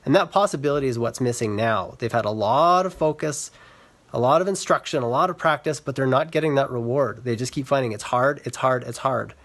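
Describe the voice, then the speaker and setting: A man with a mid-pitched voice gives an explanatory talk as a reading specialist. He speaks earnestly in measured phrases, addressing online viewers directly. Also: a bit bright. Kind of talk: explanatory talk to camera for social media.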